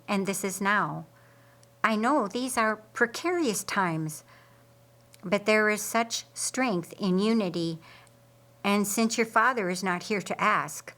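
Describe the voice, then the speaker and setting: A woman voicing a solemn male householder in a story, speaking formally and deliberately. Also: mid-range voice, fairly bright tone, close to an everyday voice.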